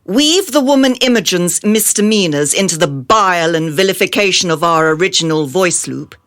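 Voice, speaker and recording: A woman's voice: lowish voice, expressive, moderate pace, close to an everyday voice. A woman playing a haughty, callous society madam in a satirical radio drama, theatrical and imperious in manner.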